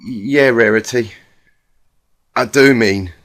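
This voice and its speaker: A man voicing a distraught young female country pony, folksy, halting and stammering, in a dramatic storybook reading. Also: mid-range voice, fairly bright tone. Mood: insistent, upset.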